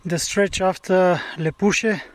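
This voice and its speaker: Slow, halting Bulgarian hiker vlogging outdoors. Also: male voice, high-pitched, steady tone. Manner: slow, hesitant, many fillers. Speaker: hiking vlogger. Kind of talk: casual trail vlog. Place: outdoors on a mountain trail.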